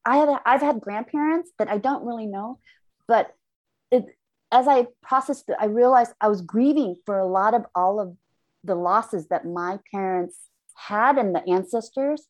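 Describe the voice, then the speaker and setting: A woman born in Korea, speaking in a reflective conversation with thoughtful, halting phrasing and frequent restarts. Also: mid-range voice, a little mellow.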